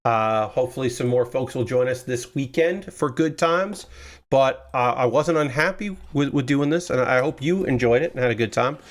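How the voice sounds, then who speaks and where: A man streaming live from a small room at home, chatting casually and rambling while he builds, with a loud booming voice. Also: normal speed. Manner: rambling, chatty, restarts often.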